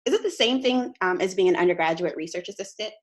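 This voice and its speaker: A woman's voice: fairly low pitch, lively intonation, fast. A young woman informally moderating a student panel. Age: young adult. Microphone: computer mic on video call.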